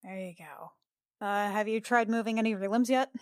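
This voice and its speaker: A worried, brisk woman , played by a female gamer, fusses with careful clinical questions in a natural voice. The sound is close and dry, as in a tabletop role-playing session. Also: medium pitch, clear, resonant tone, no room sound, natural voice. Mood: focused, careful. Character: worried, brisk woman checking on her injured boyfriend.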